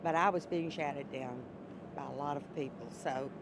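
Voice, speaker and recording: A woman serving as a juror, answering interview questions in a loud voice, often restarting and repeating herself. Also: sits low, normal speed, background noise.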